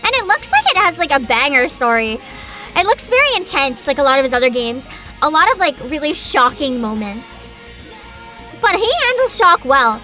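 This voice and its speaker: A woman with a high, bright voice hosts a casual livestream. Her pitch moves around a lot as she chats and reads aloud with bubbly enthusiasm. Soft music plays behind her. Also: big pitch swings, moderate pace. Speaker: virtual streamer host. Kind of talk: casual livestream chat, partly reading aloud.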